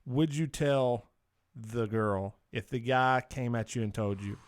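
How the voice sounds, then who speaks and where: A man's voice: medium pitch, a little slow, clean tone, dry recording, studio quality. A man hosting a casual talk show, speaking informally and opinionatedly, with swearing and plenty of slangy asides. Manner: informal, swearing, opinionated, uses "dude" often. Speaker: podcast host.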